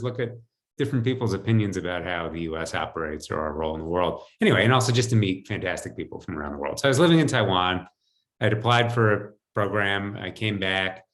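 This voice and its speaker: Low-pitched American man telling his story. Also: fairly bright tone. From United States, New Jersey. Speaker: podcast guest, financial advisor. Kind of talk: conversational personal storytelling. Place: home, indoors. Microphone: video call computer mic.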